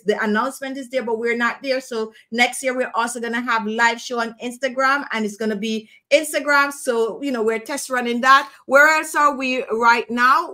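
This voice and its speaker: A middle-aged woman with a Jamaican accent hosting a casual beauty live stream from home, chatty and animated, speaking to a webcam. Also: high voice. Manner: chatty, animated, talks with her hands. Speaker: beauty channel host. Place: home room, indoors.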